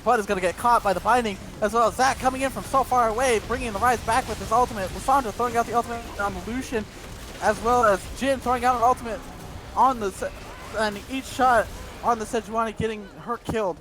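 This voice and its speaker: Male play-by-play caster, high voice, breathless rapid calls, light background noise. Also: steady tone, fairly bright tone. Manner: rapid breathless calls, tripping over words. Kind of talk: esports play-by-play commentary.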